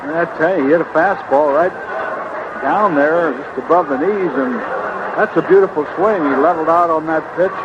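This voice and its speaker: A man's voice: a little high, moderate pace. A man giving conversational color commentary on a live radio baseball broadcast. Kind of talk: conversational live game analysis. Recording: noisy, dull vintage radio broadcast. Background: outdoor stadium ambience.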